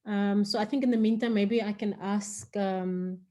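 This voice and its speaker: A woman's voice: medium pitch, fairly even intonation, normal speed, warm tone. A woman moderating an online panel discussion informally, polite and a little tentative as she hands over. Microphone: video call.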